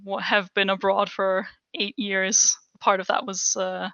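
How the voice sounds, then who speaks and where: A woman from Austria with a bright, gravelly voice chats about her career on a video panel. The call audio is dry and slightly dull. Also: mid-range voice, little pitch movement, unhurried, no room sound. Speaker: alumni panelist.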